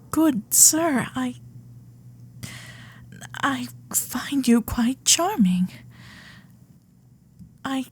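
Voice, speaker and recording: Woman acting a high-voiced, earnest Gilded Age young lady. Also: slight background noise. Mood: earnest, tender. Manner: earnest, breathy asides, formal period phrasing. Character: frank, flustered young Gilded Age society lady, romantic. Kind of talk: ASMR romance roleplay audio drama.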